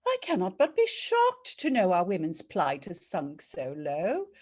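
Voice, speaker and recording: Woman playing a regal judge, slow and swooping. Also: fairly low pitch, wildly swooping pitch, exaggerated voice. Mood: indignant, declamatory. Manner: declamatory, theatrical, savouring each rhyme. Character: regal, righteous female judge of a bawdy court. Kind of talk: dramatic verse reading, rhyming comic poem.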